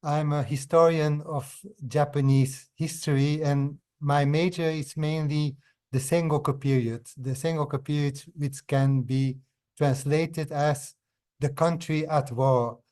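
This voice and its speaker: A man in his fifties from Belgium, not a native English speaker, giving a webinar talk as a historian over a video call, pausing often with fillers and restarts. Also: fairly high pitch, steady tone, a little mellow. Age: 50s. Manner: hesitant, frequent fillers and restarts.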